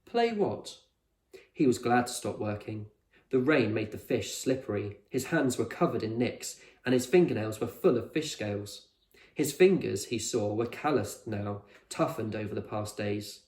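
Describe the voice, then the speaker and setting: A man reading a children's novel aloud as a teacher, with expressive storybook narration. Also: fairly low pitch, normal speed. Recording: echoey room.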